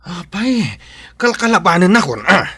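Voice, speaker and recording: A man's voice: high-pitched, big pitch swings, a little slow, noisy background. An actor with a Filipino accent playing a brash, teasing young village man in an audio drama, taunting and exclamatory. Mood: angry. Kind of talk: Ilocano audio drama acting.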